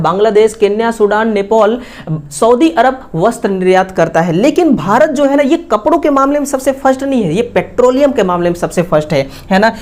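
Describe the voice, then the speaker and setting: A woman with an Indian accent teaching exam questions, repeating key facts as quick rhetorical questions with frequent checking tags. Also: fairly high pitch, darkish tone. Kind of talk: exam question analysis lesson.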